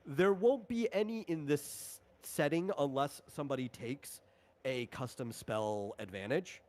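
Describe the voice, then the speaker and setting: A man's voice: fairly high pitch, measured pace, darkish tone. Man casually explaining game rules.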